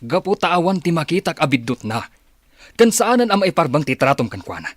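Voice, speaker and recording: A man playing a protective, pleading husband and father in a radio drama, with a husky voice. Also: fairly high pitch, very animated, fairly quick, no room sound, studio quality. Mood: reproachful. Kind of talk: Ilocano radio drama acting.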